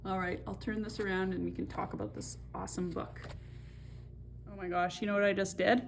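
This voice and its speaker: Woman, children's story host, creaky mid-pitched voice, expressive, conversational, faint background noise. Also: a little fast. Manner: expressive, conversational, addresses young viewers directly. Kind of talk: picture-book read-aloud with chatty asides.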